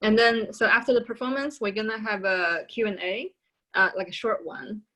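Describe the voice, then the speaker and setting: A woman's voice: mid-range voice, fairly even intonation, moderate pace, bright, forceful tone, a little hiss or hum. A woman who coaches the performers, hosting a show over a video call in a friendly, practical way. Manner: friendly, practical, with fillers and laughs. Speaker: host and coach.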